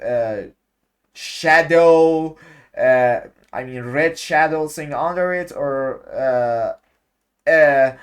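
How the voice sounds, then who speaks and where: A man explaining video editing effects, speaking in halting English with many pauses and fillers as he searches for words. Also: medium pitch. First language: Persian. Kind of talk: unscripted screen-recorded tutorial.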